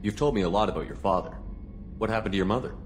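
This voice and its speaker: An actor playing a steady, direct military space commander in a video game, firm and probing, with music behind him. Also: male voice, fairly low pitch, rapid-fire, a little hiss or hum, lightly acted. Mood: probing, calm. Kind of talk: video game dialogue acting. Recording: background music under the voice.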